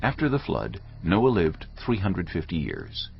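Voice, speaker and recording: A man narrating scripture from a script in a solemn, deliberate, measured way. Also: low voice, wildly swooping pitch, gravelly, a little hiss or hum. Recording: dull audio with loud music behind.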